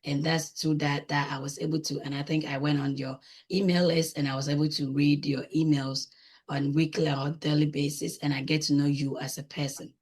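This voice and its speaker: Female interview guest, Ghanaian accent, deep husky tone, conversational testimonial delivery. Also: flat delivery, brisk, darkish tone. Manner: frequently tags phrases with "right?". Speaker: guest, coaching client and business owner.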